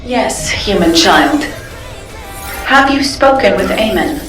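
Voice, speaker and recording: A serene ancient guardian in a video game. Her medium-pitched voice is stately and instructive. It sounds distant and echoing, over faint music. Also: big pitch swings, slow. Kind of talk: video game dialogue acting.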